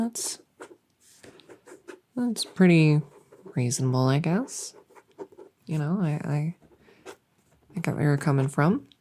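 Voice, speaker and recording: Friendly female monster under the bed, played by a woman, silly ASMR roleplay: mellow, low, soft-spoken, playful rambler. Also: slight background noise. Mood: chatty, playful.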